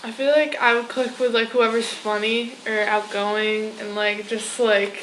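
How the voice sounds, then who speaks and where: A woman's voice: on the high side, flat delivery, unhurried, fairly bright tone, a little hiss or hum. A young woman answering interview questions in a casual way, with many "like" fillers. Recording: echoey room.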